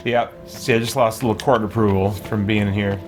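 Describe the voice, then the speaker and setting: A man's voice: lowish voice, fairly even intonation, a little fast, over music. A man casually commentating on his gameplay, thinking aloud and muttering to himself. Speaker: livestreaming gamer, let's play host.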